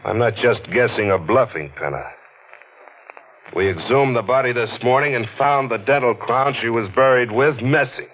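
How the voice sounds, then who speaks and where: A man's voice: medium pitch, normal speed, a little hiss or hum, close to an everyday voice. An American actor playing a tough, cynical newspaperman sleuth in a noir radio drama, with clipped, slangy, pressing delivery. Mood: aggressive, accusing. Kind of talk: 1940s radio noir acting with narration. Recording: muffled old radio drama recording.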